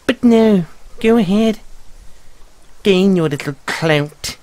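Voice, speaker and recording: A man voicing a bitter, tormented frog mascot in an audio roleplay, with a halting, tearful, self-pitying delivery. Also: high voice, darkish tone, no echo. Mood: bitter, accusing. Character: weepy, bitter, tormented frog mascot haunting an abandoned amusement park. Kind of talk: audio roleplay monologue with mournful sung counting rhyme.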